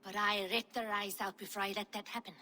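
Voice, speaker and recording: A woman's voice: mid-range voice, level tone, a little fast, sharp tone, lightly acted. An actress playing a tough, resourceful woman merchant with a direct, assertive way of speaking in a game. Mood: defiant, frank.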